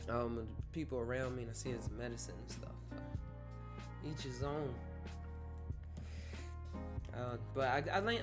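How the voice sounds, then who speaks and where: A man in his twenties hosting a solo podcast, talking in a rambling, reflective way and often correcting himself. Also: medium pitch. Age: 20s. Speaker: podcast host. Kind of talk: casual solo podcast talk. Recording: guitar music playing under the voice.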